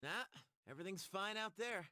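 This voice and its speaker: Brash, animated teenage boy. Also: high-pitched, moderate pace, slight background noise, full character voice. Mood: dismissive. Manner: slangy, expressive. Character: brash, casual teenage boy, excitable and blunt. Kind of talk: scripted video game cutscene acting.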